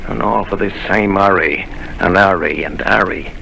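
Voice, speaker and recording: An actor playing a sly, scheming one-legged pirate cook in a 1930s radio drama, growling and persuasive, on an old hissy broadcast recording. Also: male voice, low voice, moderate pace, gravelly, bright, forceful tone, heavily acted, low-quality recording. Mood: menacing.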